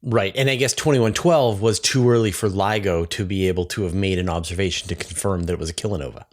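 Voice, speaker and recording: A man's voice: deep voice, steady tone, fast talker. A man hosting a conversational science interview. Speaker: interview host.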